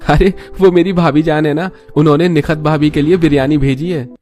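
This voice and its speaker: A man's voice: a little high, steady tone, fairly quick, darkish tone, faint music behind, close to an everyday voice. A man voicing a lovestruck young man in a cartoon. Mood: casual. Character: lovestruck young man meeting his sweetheart secretly. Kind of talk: cartoon voice acting.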